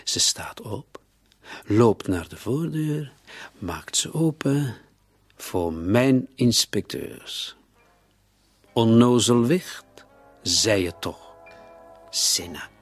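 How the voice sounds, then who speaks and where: An actor playing a shrewd, taunting police commissioner interrogating a suspect in a radio play. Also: male voice, mid-range voice, lively intonation, extremely slow, long pauses, husky, no room sound, soft background music, heavily acted. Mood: gloating. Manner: sardonic, taunting, with rhetorical tags.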